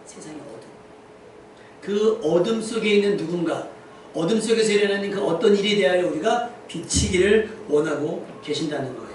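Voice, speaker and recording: A male pastor preaching a Sunday sermon to a congregation, posing rhetorical questions and repeating key phrases for emphasis. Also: sits high. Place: indoor church service. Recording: very echoey church hall, distant mic, faint noise.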